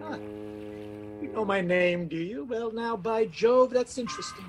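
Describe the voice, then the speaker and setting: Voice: medium pitch, moderate pace, faint music behind, lightly acted. An actor playing a haughty, blustering British aristocrat with a British accent in an old-time radio drama. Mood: haughty, irritated. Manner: pompous, blustering, dismissive. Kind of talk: 1940s radio detective drama acting.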